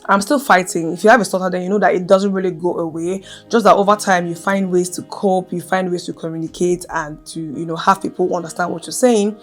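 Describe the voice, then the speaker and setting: A woman's voice: fairly low pitch, fairly even intonation, a little fast, few pauses, soft background music. A young adult woman vlogging casually to camera, earnest and emphatic, who speaks with a stutter and repeats her key phrases.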